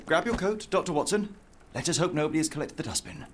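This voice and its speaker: A man's voice: a little high, expressive, fast, slight background noise, natural voice. A man playing a brilliant, sardonic British detective in a video game, speaking in a precise, pompous manner. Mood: impatient. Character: brilliant, haughty young male detective, impatient and sardonic. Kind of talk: video game cutscene acting.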